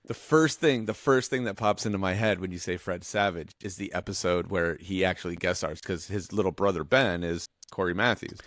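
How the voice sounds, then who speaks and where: A man co-hosting a podcast, chatting in an informal, animated way with some mild swearing. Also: low-pitched, a little fast, hardly any pauses, no room sound, studio quality. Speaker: podcast co-host. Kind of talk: casual chat.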